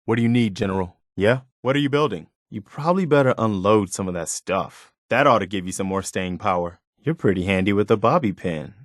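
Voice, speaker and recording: Casting: male actor for a loyal, principled militia man, game companion, lowish voice, caring and plain-spoken, dry close sound. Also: lively intonation, a little fast, few pauses, no room sound, lightly acted. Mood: steady, helpful. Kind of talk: acted video game companion dialogue.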